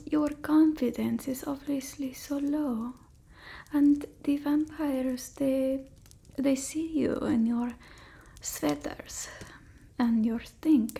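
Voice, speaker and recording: Woman as a slow, whispering, haughty vampire mistress. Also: sits high, gravelly, mellow, full character voice. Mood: doting, fretful. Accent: put-on Eastern European. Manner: slow, imperious, teasing, hesitant murmuring. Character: haughty, fussy, doting female vampire mistress. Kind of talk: whispered ASMR roleplay.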